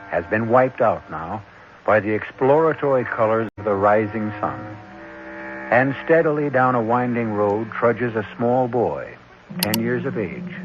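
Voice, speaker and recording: Slow, solemn man narrating radio drama. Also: medium pitch. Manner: solemn, portentous, deliberate phrasing. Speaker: host and narrator. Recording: muffled old radio recording with music.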